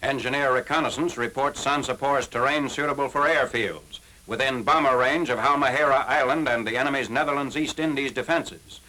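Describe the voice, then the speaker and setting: A man's voice: mid-range voice, fairly quick, few pauses, pushed and bright, rough recording. An American man reading scripted military newsreel narration in a formal, clipped, matter-of-fact way on a 1940s film soundtrack.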